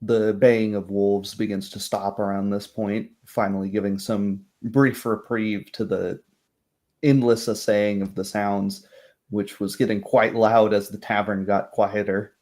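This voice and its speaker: A man's voice: on the low side, level tone, unhurried, darkish tone. A man improvising narration as the game master of a tabletop fantasy roleplay.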